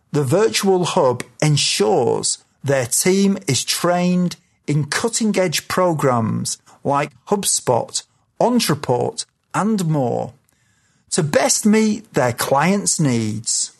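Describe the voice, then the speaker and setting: Female podcast host, slow, animated reading of the intro. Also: fairly low pitch, creaky. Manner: measured, scripted delivery.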